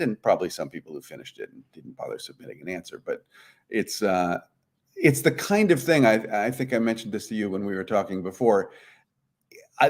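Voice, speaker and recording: A man's voice: lowish voice, a little mellow. A man speaking as an interview guest, giving conversational, explanatory answers. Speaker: guest, puzzlemaker.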